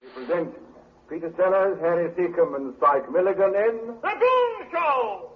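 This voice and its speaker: A man's voice: high-pitched, sing-song, unhurried, breathy edge, dry recording. A man announcing an old radio comedy show in a showman's introduction. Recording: dull archive radio recording.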